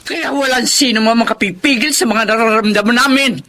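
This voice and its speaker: A woman's voice: fairly high pitch, brisk, pushed and bright. An actress with a Filipino accent playing a bitter, hard-hearted middle-aged mother in a melodramatic radio drama. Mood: scornful, defiant.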